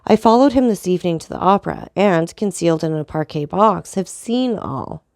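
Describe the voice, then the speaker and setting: A woman's voice: fairly low pitch, expressive, normal speed, creaky, darkish tone, dry recording, clean studio sound. A woman reading an audiobook voices a noblewoman in despair, pleading anguished for her son's life. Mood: desperate.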